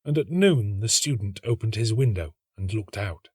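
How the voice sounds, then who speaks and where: A man reading a literary story as an actor would, in a measured, dramatic and expressive narrating style. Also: fairly low pitch, slightly rough, no echo, clean studio sound.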